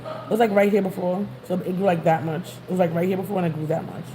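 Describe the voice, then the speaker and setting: A woman's voice: fairly low pitch, fairly even intonation, quick pace. A woman from Queens with a New York accent, chatting casually to viewers on a livestream while out on the street. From New York City, Queens. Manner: rambling, chatty, greets viewers. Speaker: vlogger. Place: outdoors on the street. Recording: noisy background.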